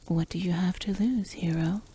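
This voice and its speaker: A woman's voice: on the low side, normal speed, mellow, husky. A woman playing a playful, cool-headed rogue in a fantasy roleplay ASMR, speaking in a teasing, intimate, half-whispered way. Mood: sly, calm.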